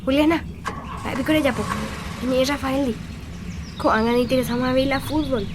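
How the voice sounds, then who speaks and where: A woman's voice: sits high, normal speed, background noise, close to an everyday voice. A woman voicing a gossipy young Paraguayan woman in a radio drama, with a Paraguayan accent. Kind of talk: radio drama acting in Jopara.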